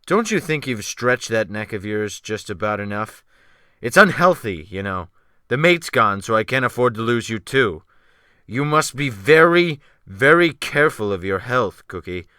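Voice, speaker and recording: A man playing a cold, menacing sea captain in a dramatic audiobook, smooth-spoken but snapping into orders. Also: mid-range voice, big pitch swings, moderate pace, no room sound, studio quality. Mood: suave menace. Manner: smooth, then snapping sharply into loud orders. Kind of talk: dramatic audiobook character reading.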